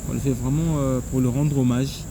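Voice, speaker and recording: A man's voice: medium pitch, steady tone, a little fast. A man in his thirties, an interview guest, answering earnestly and restarting his phrases often. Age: 30s. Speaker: guest, son of honored journalist. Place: outdoor stadium. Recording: loud insect noise outdoors.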